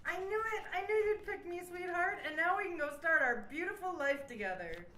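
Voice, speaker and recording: A woman's voice: a little high, expressive, normal speed, roomy sound, a little hiss or hum, lightly acted, poor audio. A woman playing a gushing, lovestruck and deluded best friend with an eager, sugary manner.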